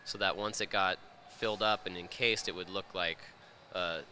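Very deep, very bright-edged man. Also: fairly even intonation, normal speed, a little hiss or hum. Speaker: glass artist and instructor. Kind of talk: interview explanation. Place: large indoor glass studio. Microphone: handheld interview microphone.

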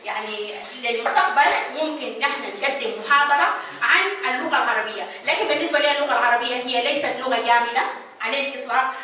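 Quick, bright Egyptian woman moderating a panel. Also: high voice. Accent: Egyptian Arabic. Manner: quick, insistent, explains her view at length. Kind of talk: panel discussion. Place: art gallery event room, seated at a table. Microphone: handheld mic, heard from a distant camera. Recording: echoey gallery from far off.

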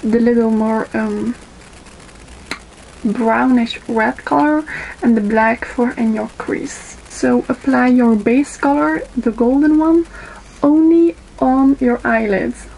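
A young woman with a Dutch accent walks through a makeup tutorial at a slow, careful pace, her voice mellow and a little high. She fills her pauses with ums as she works. It sounds like a webcam in a small bedroom, with faint room hiss behind her. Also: gravelly, low-quality recording. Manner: unhurried, with frequent ums and pauses while applying makeup. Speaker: beauty vlogger. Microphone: webcam in front of her.

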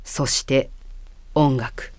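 A woman narrating a personal essay about a film, reading in a calm, reflective, measured way. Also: a bass voice, very noisy. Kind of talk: calm literary narration over film clips. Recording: voice-over with music underneath.

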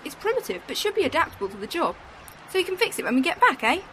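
An eager, chatty companion to a time traveller, as a woman plays her, with a high voice. Faint noise sits behind her in this fan-made radio play. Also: sing-song, racing, bright, forceful tone, full character voice. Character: bright, enthusiastic female time-travelling companion.

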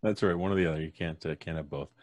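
A man's voice: very deep voice, racing, dry recording. A middle-aged man hosting a live stream with casual, jokey banter, close on a studio mic. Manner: jokey, playful. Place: indoor home studio. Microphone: studio mic on boom arm, headphones.

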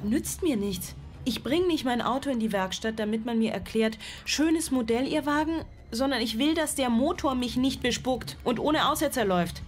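An actress playing an obsessive, distrustful single mother in a radio drama, cold and deliberate. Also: a little high, animated, natural voice. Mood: insistent. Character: obsessive, distrustful single mother plotting against her child. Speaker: actress, lead and narrator of a radio play. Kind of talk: German radio drama monologue. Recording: music under the voice.

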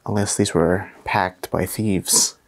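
A young man vlogging casually as he walks through an abandoned mansion, chatty and excitable. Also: sits low, slow. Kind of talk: casual walkthrough vlog. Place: indoors in an abandoned house.

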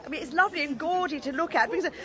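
A woman's voice: high-pitched, very fast, highs cut off, a crowd in the background, lightly acted. An actress playing a kindly, genteel, long-suffering village lady in a radio comedy drama. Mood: fretful, chatty. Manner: gentle, solicitous, genteel.

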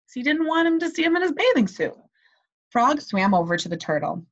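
A young woman, a teacher reading a children's story aloud in an animated way and asking her listeners questions. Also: sits high, fairly quick. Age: young adult. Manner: animated, expressive. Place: small bedroom, indoors. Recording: slightly dull webcam audio.

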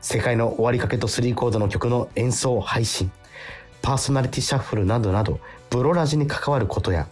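A man's voice: mid-range voice, fast, a little mellow. A man hosting a radio show, chatting casually and reading out listener letters in a jovial, emphatic way. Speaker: actor. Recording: music behind the talk. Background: background music.

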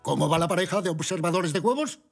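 A man's voice: sits high, animated, gravelly. A man voicing a kindly, genial old zebra grandfather in a children's cartoon. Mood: jovial. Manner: unhurried, genial. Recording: music behind.

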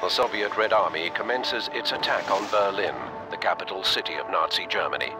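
A man reading scripted documentary-style war narration for a game cutscene in a grave, measured manner. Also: deep voice, loud music behind the voice.

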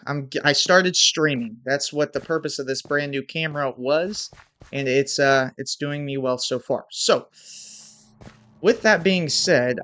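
A man's voice: fairly high pitch, pushed and bright. Young male gamer, stuffy nose, headset mic, home room, hyped intros and muttered play-by-play. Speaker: gaming YouTuber. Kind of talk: casual gameplay commentary.

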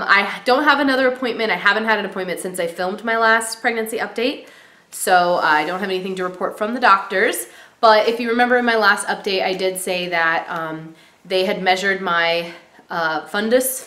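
A woman's voice: medium pitch, a bit bright. Chatty woman with a stuffy cold. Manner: chatty, many ums and likes. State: stuffy from a cold, clearing throat. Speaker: vlogger. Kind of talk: casual pregnancy update vlog. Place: small room indoors. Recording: roomy echo.

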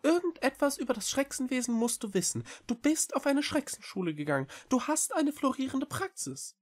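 Lady doing a tiny talking cat guy, super high and fast, polite n eager, storybook style. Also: very animated, a little mellow. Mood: eager, persuasive. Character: small talking cat-like creature, male, earnest, polite, eager. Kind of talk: audiobook character voice.

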